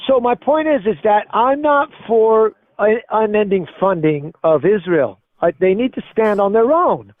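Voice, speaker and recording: High-voiced man, mature, insistent political talker on a slightly muffled call. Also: expressive, a little slow, few pauses, dry recording. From Spain. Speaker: caller. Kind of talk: opinionated political conversation. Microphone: phone or voice call.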